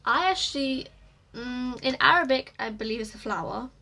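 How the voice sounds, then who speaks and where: A teenage girl from England with a fairly high, bright voice. She talks softly and hesitantly, with pauses and small laughs, co-hosting a casual chat podcast. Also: expressive, gravelly. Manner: hesitant, soft-spoken, laughs often. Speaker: podcast co-host.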